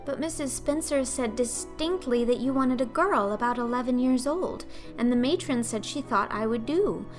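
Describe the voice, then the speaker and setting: A woman's voice: high-pitched, normal speed, faint music behind, close to an everyday voice. A woman voicing a talkative, imaginative eleven-year-old orphan girl in an audiobook, theatrical and earnest in her pleading. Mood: reproachful. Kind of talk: audiobook character acting.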